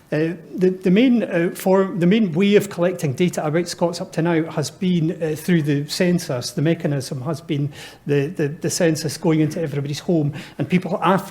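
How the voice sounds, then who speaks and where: A man's voice: a little high, a little mellow. A man in his fifties speaking as a panelist at a live discussion, good-humoured and chatty, with many fillers and restarts. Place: lecture hall before an audience.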